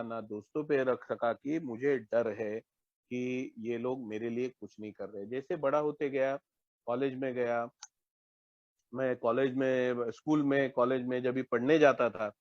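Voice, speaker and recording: A man from Maharashtra, Marathi by birth, shares his personal story at an online meeting in a candid, reflective way. He hesitates and restarts often as he searches for his words. His voice sits at an ordinary pitch, and the audio is dull and dry, like a lo-fi call. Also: a little slow, no echo. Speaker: recovering member sharing at a support meeting. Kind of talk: personal testimony.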